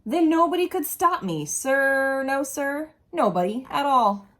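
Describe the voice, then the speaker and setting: A woman reads a picture book to young children in a fairly high voice. Her delivery is slow and deliberate, with big swoops in pitch. She slips in casual asides and questions for the listeners. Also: very animated. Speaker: storyteller reading a children's picture book aloud.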